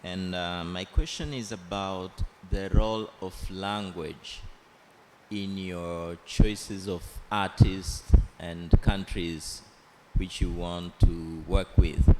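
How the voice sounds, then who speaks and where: Deep-voiced Tanzanian man asking a question. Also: steady tone, darkish tone. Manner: deliberate, searching, with pauses. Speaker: audience member asking a question. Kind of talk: question from the floor. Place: large conference hall. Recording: long hall reverb, faint background noise.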